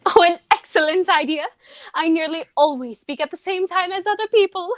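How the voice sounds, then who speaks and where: A woman's voice: very high-pitched, brisk, dry recording. A woman acting a haughty, dignified young society lady in a radio play comedy, speaking in a formal, declarative way. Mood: grand, decisive.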